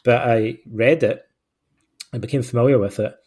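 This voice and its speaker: Middle-aged Scottish author, mid-pitched, chatty and meandering, talks himself around his points. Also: steady tone, normal speed, darkish tone, husky. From Scotland. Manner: rambling, self-deprecating, many fillers and restarts. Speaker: author being interviewed. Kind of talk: relaxed conversational answers.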